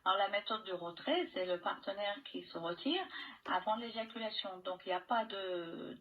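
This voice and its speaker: A woman's voice: medium pitch, normal speed, narrow, tinny audio. A woman doctor explaining medical advice as a radio guest, restating her points in a didactic way, on a shortwave broadcast.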